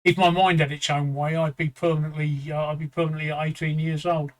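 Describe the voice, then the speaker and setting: A man's voice: a little high, level tone, fast talker. A man in his fifties hosting a live stream, talking bluntly and rambling through personal stories with some swearing. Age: 50s. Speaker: streamer host. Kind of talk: casual chat.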